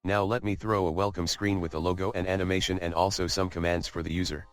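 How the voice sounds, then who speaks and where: A man's voice: deep voice, flat delivery, fast talker, clean tone, faint music behind. A man narrating a scripted comedic coding video in a jokey, mock-boastful way.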